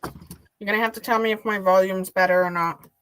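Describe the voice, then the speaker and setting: A woman co-hosting a casual livestream over a remote link, easygoing, reading out chat names slowly. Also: medium pitch, steady tone, fairly bright tone, a little hiss or hum, low-quality recording. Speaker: co-host on stream.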